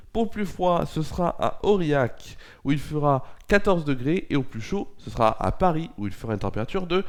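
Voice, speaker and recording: A young man presenting a local news and weather bulletin with a stuffy nose from a cold, often hesitating and correcting himself. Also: fairly high pitch. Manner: hesitant, frequent fillers and self-corrections.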